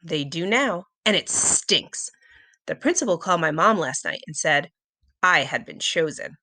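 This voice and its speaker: A woman's voice: lowish voice, animated, a little slow, bright, forceful tone, exaggerated voice. A woman voicing a reluctant, easily alarmed schoolboy in a storybook, with a whiny, exclamatory delivery. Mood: grumbling.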